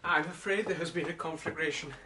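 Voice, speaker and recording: An actor playing a shabby, earnest, excitable struggling writer in a British period drama, courteous. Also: male voice, a little high, fairly quick, background noise, close to an everyday voice. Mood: shaken, urgent.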